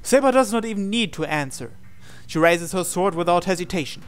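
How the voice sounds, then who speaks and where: A man reading visual novel text aloud as a measured, dramatic storyteller and host. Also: high voice, expressive, smooth.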